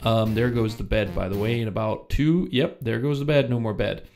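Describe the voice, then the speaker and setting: Casual male stream host with some vocal fry, talking off the cuff and interrupting himself. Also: fairly low pitch, moderate pace, a little mellow, soft background music. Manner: rambling, self-interrupting, chatty. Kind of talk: casual live-stream chat. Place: room in Germany. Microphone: studio microphone without pop filter.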